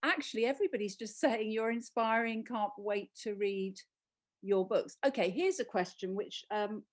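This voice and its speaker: A woman's voice: mid-range voice, normal speed. A woman moderating a public conversation, relaying questions in an informal, chatty way and often breaking off mid-thought. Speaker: interviewer, event moderator.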